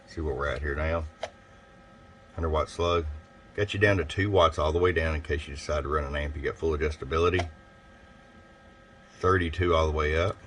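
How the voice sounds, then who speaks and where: A man's voice: rumbling low, a bit bright. A man giving a casual, folksy technical product demonstration as a radio technician and seller. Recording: clear background noise, room ambience.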